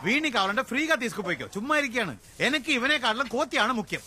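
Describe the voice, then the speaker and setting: An actor playing a stubborn, dismissive circus animal handler in a comedy film. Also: male voice, very high voice, very animated, racing, sharp tone, slight background noise, exaggerated voice. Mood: irritated. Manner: brusque, dismissive. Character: gruff, stubborn male circus animal handler refusing requests.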